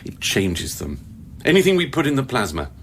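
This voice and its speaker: An actor playing an evasive, cynical scientist on a remote station in a radio play, cagey and sardonic, with halting, wordy delivery. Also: male voice, medium pitch, wildly swooping pitch, a little hiss or hum. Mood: brooding, eloquent. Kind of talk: radio drama acting.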